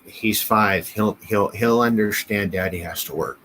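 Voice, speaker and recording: A man co-hosting a podcast in casual conversation, speaking haltingly and repeating his phrases. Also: fairly low pitch, a bit bright, a little hiss or hum, low-quality recording. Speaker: podcast co-host. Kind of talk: casual chat. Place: at home, family elsewhere in the house.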